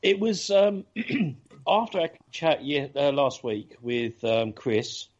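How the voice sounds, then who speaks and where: A man's voice: a little high, big pitch swings, takes it slowly. A man hosting a casual radio chat show, talking with many ums and restarts. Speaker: podcast host. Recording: dull lo-fi audio.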